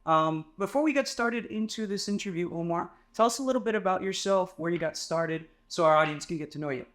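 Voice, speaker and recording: A man's voice: sits high, fairly quick, a bit bright. A man hosting an interview, introducing and questioning his guest in a conversational podcast style. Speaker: interview host.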